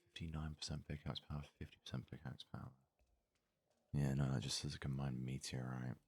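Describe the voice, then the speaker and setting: A man giving soft-spoken ASMR gaming commentary, murmuring and thinking aloud in an unhurried way close to the microphone. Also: very deep voice, flat delivery, vocal fry, breathy edge, no room sound. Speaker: let's play host.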